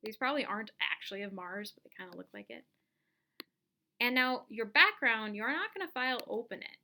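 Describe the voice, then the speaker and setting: A woman giving a casual step-by-step software tutorial, thinking aloud as she demonstrates each action. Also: on the high side, animated, fairly quick. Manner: informal, instructional, thinking aloud while demonstrating. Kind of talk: casual step-by-step screen-recorded lesson.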